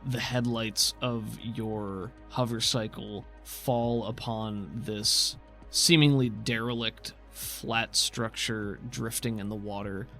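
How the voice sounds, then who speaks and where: A man's voice: fairly low pitch, fairly even intonation, slow pace, fairly bright tone. A man narrating a tabletop roleplaying game as game master, mixing descriptive scene-setting with casual asides. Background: background music.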